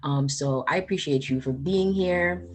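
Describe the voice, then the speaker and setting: A woman's voice: low-pitched, moderate pace, husky. A woman in her twenties hosting a podcast in casual, upbeat chat, full of "like" and "um". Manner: chatty, upbeat, lots of "like" and "um". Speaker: podcast host.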